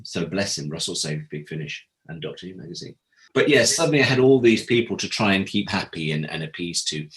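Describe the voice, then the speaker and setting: A man's voice: on the low side, rough recording. A man being interviewed, telling candid anecdotes as a guest and acting out the conversations he quotes. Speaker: interview guest, former audio drama producer. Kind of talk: animated anecdotal interview talk.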